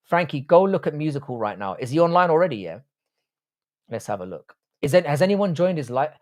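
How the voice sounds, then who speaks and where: A relaxed man hosts a casual livestream and chats with his viewers. His delivery is loose and unhurried, with plenty of offhand swearing and banter. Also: on the high side. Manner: laid-back, swears freely, banters with chat. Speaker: livestream host reading chat.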